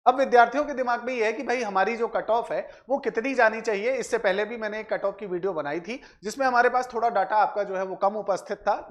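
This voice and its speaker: A man presents exam analysis like a coach, addressing viewers directly with frequent filler phrases and check tags. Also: sits high. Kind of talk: explanatory exam analysis for students.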